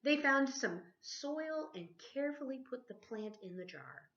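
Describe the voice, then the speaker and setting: Female storybook reader, teacherly and animated, engages listeners with questions; lively pitch, slightly muffled lo-fi recording. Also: measured pace. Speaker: teacher reading a picture book aloud. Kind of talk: expressive children's storybook read-aloud. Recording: dull lo-fi sound.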